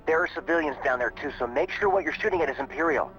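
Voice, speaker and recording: A man playing an earnest, steady young rebel squadron leader in a video game cutscene, giving urgent military orders. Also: on the high side, fast, close to an everyday voice. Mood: earnest briefing. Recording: muffled lo-fi game audio, music behind.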